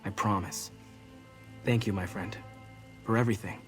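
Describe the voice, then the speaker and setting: A man playing an earnest, protective mage mentor in a fantasy video game, measured and serious. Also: lowish voice, fairly even intonation, close to an everyday voice. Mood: earnest, resolved. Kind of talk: video game character dialogue. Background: game music.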